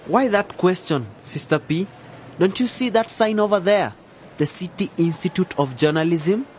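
A man's voice: sits high, expressive, normal speed, a little hiss or hum. An actor playing a cheeky, sceptical young man in a radio drama, teasing and mock-dramatic. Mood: explaining.

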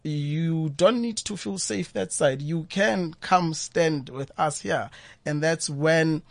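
A South African man with a fairly high voice speaks as a radio guest. He is thoughtful and conversational, often doubling back on his words. The sound is close and free of room echo. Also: slow pace, creaky. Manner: thoughtful, repeats words and phrases. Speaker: guest poet and former journalist. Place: radio studio.

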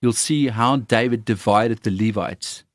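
A man with an Afrikaans accent who trains worship leaders, talking earnestly and haltingly with many fillers. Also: fairly low pitch, dry recording, studio quality. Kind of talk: casual conversation on faith.

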